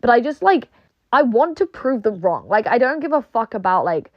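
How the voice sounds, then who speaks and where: A woman's voice: on the high side, big pitch swings, normal speed, dry recording, dull audio. A young woman with a British accent ranting casually, swearing a lot and filling her speech with "like", mimicking people she argues with. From not British; moved to England. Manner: swears often, many "like" fillers, mocking quoted voices.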